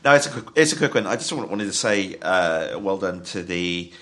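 A man's voice: low-pitched, expressive, moderate pace, a bit bright. A man on a football podcast panel, speaking earnestly and hesitantly with many fillers. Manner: hesitant, earnest, full of ums. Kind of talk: casual football podcast chat.

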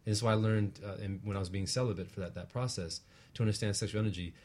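A man's voice: on the low side, fairly even intonation, very fast, a little mellow. A man speaking as a guest teacher, earnestly explaining spiritual ideas in a conversational way and often checking in with a short tag question. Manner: earnest, explanatory, often tags phrases with "right?". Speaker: guest, relationship practitioner and teacher.

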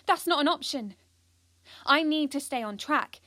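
A woman's voice: sits high, lively intonation, a little slow, sharp tone, no room sound, heavily acted. A woman playing an earnest, driven and anxious schoolgirl who narrates an audio drama in an intense, precise way. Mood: panicked. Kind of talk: first-person audio drama monologue.

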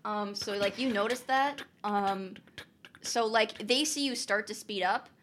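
A woman's voice: medium pitch, unhurried, a bit bright, a little hiss or hum. A young woman running a tabletop game as game master, chatty and laughing often, with lots of fillers.

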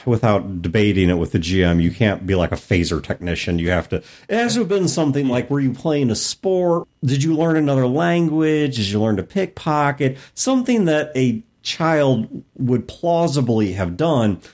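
Animated man with a swooping delivery. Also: mid-range voice, moderate pace, few pauses. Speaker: podcast co-host. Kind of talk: casual panel discussion of tabletop role-playing games.

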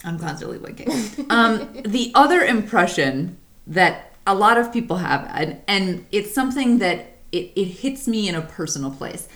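A woman with a deep voice co-hosting a podcast, chatting casually, animated and swearing freely. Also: moderate pace. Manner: animated, swearing, laughs often. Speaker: podcast co-host. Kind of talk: casual chat.

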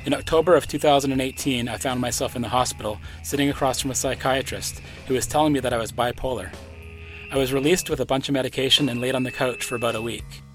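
A man with a middle-pitched voice hosts a podcast in a measured, earnest way. Faint music sometimes sits under his voice. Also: fairly bright tone, rough recording. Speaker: podcast host. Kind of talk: scripted podcast narration and interview questions.

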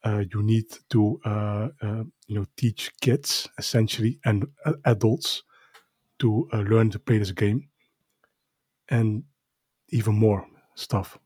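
A man's voice: fairly low pitch, steady tone, slow, a little mellow, husky, dry recording. A man with a Dutch accent, a native Dutch speaker, talking casually in English with many hesitations and fillers. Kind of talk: casual podcast conversation.

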